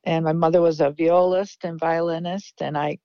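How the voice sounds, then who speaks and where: A woman's voice: deep voice, flat delivery, moderate pace, warm tone, dry recording, lo-fi sound. A woman from Iowa, a guest musician, answering interview questions in an upbeat, reminiscing way.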